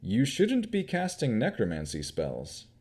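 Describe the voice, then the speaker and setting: Man doing a patient sheriff, earnest, lowish voice, steady. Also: a little slow, slightly rough, heavily acted. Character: calm, earnest new sheriff with divine magic.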